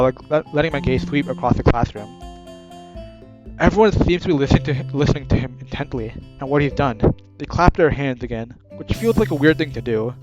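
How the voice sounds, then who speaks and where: A man casually reading a visual novel aloud, chatting and correcting himself as he goes. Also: mid-range voice. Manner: self-correcting, chatty asides. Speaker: gaming video creator. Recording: dull sound with guitar music.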